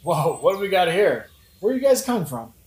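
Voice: mid-range voice, big pitch swings, normal speed, a little mellow, natural voice. An actor playing a flippant, sardonic, brooding young prince in a satirical modern-language audio play. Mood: casual, curious. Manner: flippant, mocking, theatrical. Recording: roomy echo, faint music behind.

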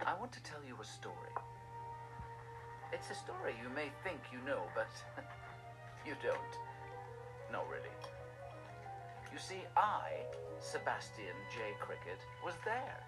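A man voicing a small, chatty, self-important cricket who narrates an animated film trailer in a confiding way. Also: medium pitch, a little slow, long pauses, poor audio. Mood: confiding, proud. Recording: film trailer clip, loud music.

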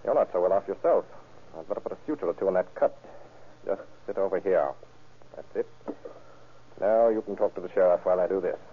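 An actor playing a calm, matter-of-fact male doctor in an old-time radio crime drama, with a practical bedside manner. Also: low voice, fairly quick, long pauses, slight background noise, close to an everyday voice. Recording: muffled, band-limited vintage radio recording.

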